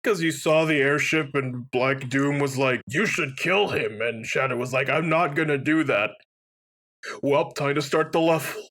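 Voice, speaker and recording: A man's voice: medium pitch, moderate pace, fairly bright tone. A young man giving casual, joking commentary on a video game alongside friends. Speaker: let's play co-commentator.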